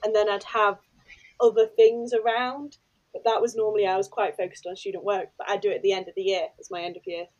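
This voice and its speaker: A woman's voice: medium pitch, little pitch movement, quick pace, highs cut off. A woman, a podcast host and former maths teacher, chatting eagerly and filling her talk with "kind of" and "like". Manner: chatty and enthusiastic, with many fillers like "kind of" and "like". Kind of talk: casual chat.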